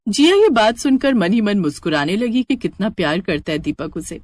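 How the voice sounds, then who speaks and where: A woman's voice: medium pitch, very animated, normal speed, a little mellow, no room sound, clean studio sound. A woman narrator reading a scripted Hindi cartoon story.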